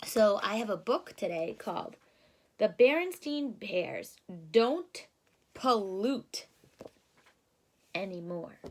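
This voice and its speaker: A woman reading a children's storybook aloud and explaining it simply, in an expressive, careful teacherly way. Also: mid-range voice, slow pace, long pauses.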